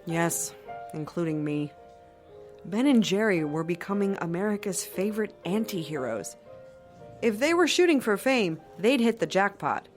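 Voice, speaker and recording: An actress narrating an audio drama as a wry storyteller in scripted style. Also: female voice, medium pitch, sing-song, moderate pace, lightly acted. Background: music.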